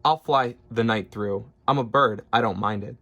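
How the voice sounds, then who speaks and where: A man's voice: fairly low pitch, moderate pace, sharp tone. A man voicing a sneering, tireless villainous eagle in a storybook, curt and scornful. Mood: dismissive.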